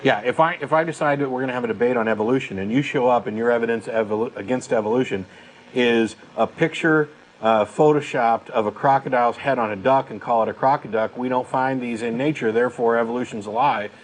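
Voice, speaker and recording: A man in his late thirties speaks as a talk show co-host. His delivery is casual but emphatic and blunt, at an average pitch. There is some noise behind him. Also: fast talker, poor audio. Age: late 30s. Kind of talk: casual opinionated discussion.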